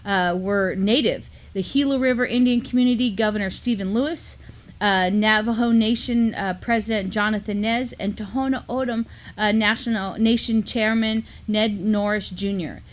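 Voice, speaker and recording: A middle-aged woman co-hosts a desk news show from a studio. She speaks earnestly in a mid-pitched voice, dotted with frequent fillers. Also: normal speed. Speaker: co-host, university teacher. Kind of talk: news discussion. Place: studio with mottled backdrop.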